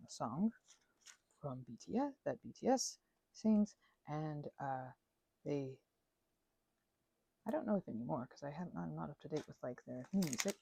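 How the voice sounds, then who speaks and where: A woman's voice: low-pitched, creaky, dark tone, slightly rough. A woman in her thirties rambling casually and digressively, with a sarcastic, self-deprecating streak. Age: 30s. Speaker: crafting vlogger and ASMR creator.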